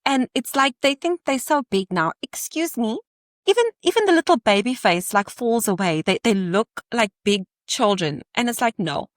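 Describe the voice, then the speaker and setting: A woman's voice: a little high, expressive, normal speed, dry recording, clean studio sound. A woman in her thirties, a podcast guest, telling candid stories in conversation with playful asides and easy laughter.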